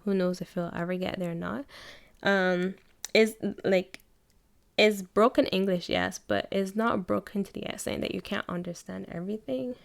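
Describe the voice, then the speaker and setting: A woman's voice: medium pitch, normal speed, creaky. A young adult woman from Barbados with a Barbadian accent, hosting her own podcast in a chatty, casual way with frequent laughter. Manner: chatty, laughs often, self-correcting. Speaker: podcast host. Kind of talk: casual solo podcast chat.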